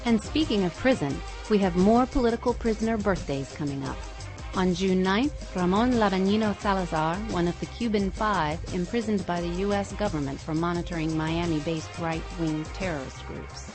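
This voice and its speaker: A woman's voice: on the low side, moderate pace, over music. A woman co-hosting a podcast and reading scripted news items. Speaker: podcast co-host.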